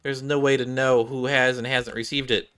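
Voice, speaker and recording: Bright-voiced man reading game dialogue aloud. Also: medium pitch, little pitch movement, moderate pace, clean tone. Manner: stumbles over words and rereads lines. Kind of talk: live read-aloud of game cutscene dialogue.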